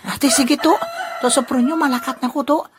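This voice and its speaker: Woman doing a chuckling old trickster, slightly high; faint hiss. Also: steady tone, moderate pace. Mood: scheming. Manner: chuckling, scheming chatter. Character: sly old man selling stolen goods. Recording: noisy amateur recording.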